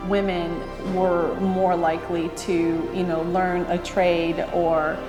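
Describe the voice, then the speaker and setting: A woman from Brazil, speaking candidly and lively in an interview, with bursts of speed. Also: on the low side, slow, echoey room, big-room sound, music in the background. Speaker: interviewee, runner.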